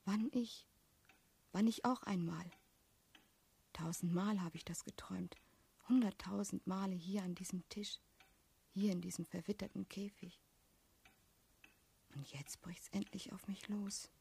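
Young female clerk, radio drama: wistful, repressed, mellow breathy tone, intimate inner monologue. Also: medium pitch, fairly even intonation, a little slow, pauses often, exaggerated voice. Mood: wistful, brooding. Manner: breathy, half-whispered, brooding. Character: wistful, repressed 28-year-old postal clerk.

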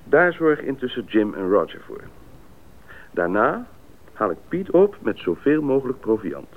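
An actor playing a decisive expedition pilot in a Dutch radio drama, speaking in a deliberate, businesslike way. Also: male voice, mid-range voice, very animated. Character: decisive male expedition pilot leading the planning. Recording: muffled narrowband radio play recording.

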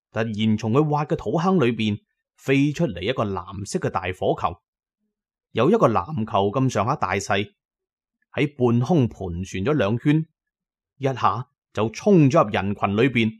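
A man's voice: mid-range voice, darkish tone. A man with a Cantonese accent narrating an adventure novel as scripted, dramatic storytelling. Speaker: audiobook narrator.

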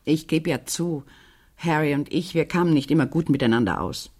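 An actress playing a troubled, guarded middle-aged widow in a radio crime drama, speaking in halting, dramatic phrases with a husky voice. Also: female voice, deep voice, a little mellow. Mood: confiding, regretful. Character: troubled, guarded widow confiding in a young neighbour girl.

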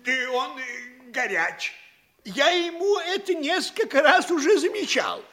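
Nervous official, very high thin voice, slow whining delivery. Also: male voice, big pitch swings, pushed and bright, heavily acted. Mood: fretful. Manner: anxious, whining, sighing. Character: timid fretful male school superintendent, middle-aged. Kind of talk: classic stage radio play acting.

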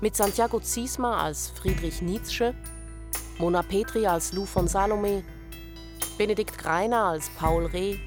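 A woman's voice: mid-range voice, measured pace. A woman reading scripted radio drama credits as an announcer. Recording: music behind the voice.

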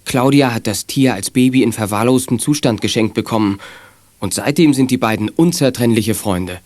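A man's voice: mid-range voice, moderate pace, darkish tone, dry recording, lightly acted. A man narrating a children's detective radio play in scripted storytelling style. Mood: matter-of-fact.

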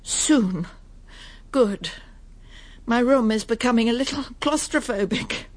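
A woman playing a prim, weary, middle-aged English lady in a radio drama, halting and polite, murmuring to herself with an English accent. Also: a little high. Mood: strained politeness. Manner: polite, halting, breathy murmured self-talk. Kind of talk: radio drama acting, intimate monologue and dialogue.

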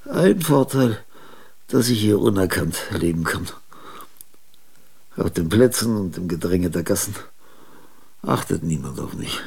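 An actor playing a weary, bitter old man who talks slowly and reflectively in a radio drama monologue, musing aloud about memory. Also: deep voice, big pitch swings, creaky, darkish tone, slightly rough, full character voice. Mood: brooding. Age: older. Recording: piano music behind. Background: piano playing.

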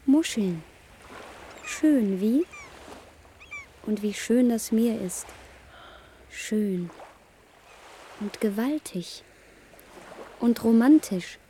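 A woman's voice: a little high, animated, slight background noise. A woman playing a dreamy young daughter in a radio play, wistfully coaxing and pleading with her mother. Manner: slow, wistful, gently coaxing.

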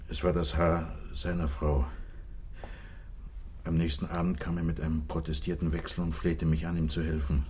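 Contrite man on the run: deep, mellow-dark register, earnest and pleading, 1960s radio drama. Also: slightly rough, heavily acted. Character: contrite, desperate wealthy fugitive confessing, husband and father. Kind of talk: 1960s German radio play acting.

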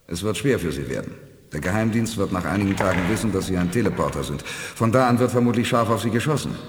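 An actor playing a calm, determined space commander with dry humour in a 1980s German radio play. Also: male voice, deep voice, fast talker. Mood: serious, businesslike. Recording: noisy 1980s audio drama recording.

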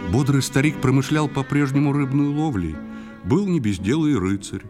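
A man reading a poetic tale as an audio-play narrator, expressive and lyrical. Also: medium pitch, moderate pace. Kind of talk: dramatic verse narration, audio storytelling. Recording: music under the voice. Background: guitar and instrumental music.

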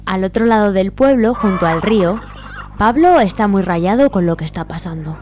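A woman's voice: medium pitch, expressive, normal speed, noisy background. A woman reading scripted narration for a comic radio serial.

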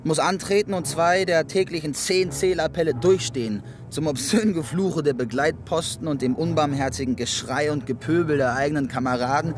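A man's voice: on the high side, fairly quick, hardly any pauses, close to an everyday voice. A man narrating a literary radio play in a scripted, dramatic reading. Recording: music behind narration.

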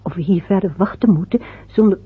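A fussing middle-aged mother from an old radio play, muttering and chatting to herself. Her voice is very breathy and husky, and the lo-fi recording sounds muffled. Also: medium pitch, a little fast. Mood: musing.

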